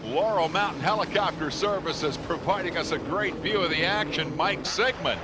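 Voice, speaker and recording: A man works as the lead race commentator, with a fairly high voice. His play-by-play is punchy and dramatic. Loud outdoor race noise runs behind him. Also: moderate pace. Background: motocross race noise.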